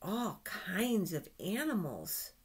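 An older woman reading a picture book aloud to young children, exclaiming and pointing things out with drawn-out words. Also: mid-range voice, sing-song, slightly rough. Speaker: storytime reader for children. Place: small office, indoors. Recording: noisy webcam recording with clicks.

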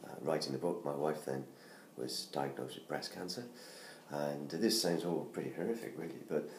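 A middle-aged man from the UK, hoarse from laryngitis, chatting casually with many ums and restarts as he promotes his book from his living room. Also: rumbling low, moderate pace. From United Kingdom. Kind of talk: casual self-made promo video. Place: living room at home by a Christmas tree. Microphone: tablet camera at close range. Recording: roomy home sound with faint noise.

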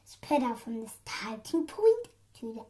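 Young girl as a slow-talking, sensible little snail. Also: a little high, very animated, mellow. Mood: planning. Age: child. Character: small male snail, patient, sensible, quietly clever.

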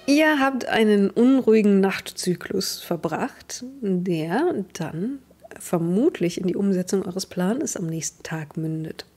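Female game master, young, medium-pitched, descriptive storyteller, music underneath. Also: a little mellow, slightly rough. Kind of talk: narrating a tabletop role-playing game.

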